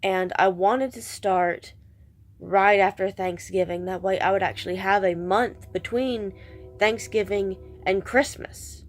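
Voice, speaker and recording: A woman's voice: mid-range voice, steady tone, a little slow, faint music behind. A woman with a stuffed-up, congested voice, chatting casually in a vlog and rambling with self-deprecating restarts. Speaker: vlogger.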